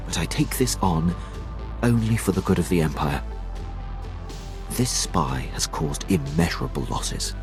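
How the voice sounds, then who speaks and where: An actor playing a dutiful, keen male military officer in a video game, speaking formally and with deference to a superior. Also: low-pitched. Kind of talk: dramatic scripted game dialogue.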